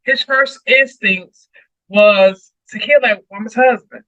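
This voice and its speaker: A man's voice: sits high, slow, pushed and bright, dry recording, muffled recording. A man talking casually through scripture as a co-host, emphatic and repeating phrases for weight. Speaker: co-host of a Bible read-along discussion.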